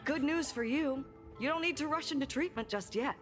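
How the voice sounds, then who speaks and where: A woman's voice: sits high, fairly quick, faint music behind, lightly acted. A woman voicing a witty, teasing female doctor in a video game, playful, knowing and theatrical. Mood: playful welcome.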